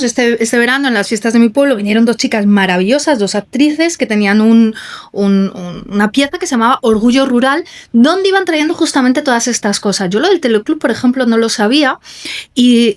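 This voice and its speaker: A woman, a writing teacher hosting a podcast, talking in a lively way with small laughs. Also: fairly high pitch. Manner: lively, laughs between thoughts. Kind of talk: casual conversation.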